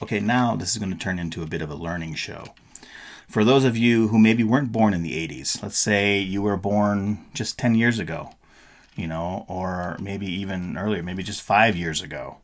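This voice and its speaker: A middle-aged man giving a casual, playful, rambling explanation in a show-and-tell vlog style. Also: low voice. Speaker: vlogger.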